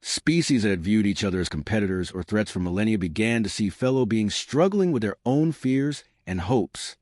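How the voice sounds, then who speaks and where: Male sci-fi audiobook narrator. Low register, lively intonation, dry close sound. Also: normal speed, dry recording. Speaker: story narrator. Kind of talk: scripted audiobook-style science fiction narration.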